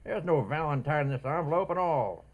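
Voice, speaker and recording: An actor playing a suspicious, stubborn mail clerk in a radio comedy, with a folksy, scolding manner. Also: male voice, fairly high pitch, lively intonation. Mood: triumphant, stern. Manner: folksy, scolding, drawn-out exclamations. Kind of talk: old-time radio comedy acting.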